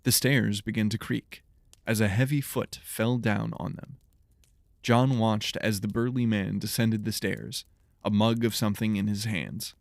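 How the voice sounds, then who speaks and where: Low, gravelly male audiobook narrator. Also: no room sound, clean studio sound. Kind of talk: scripted fiction narration.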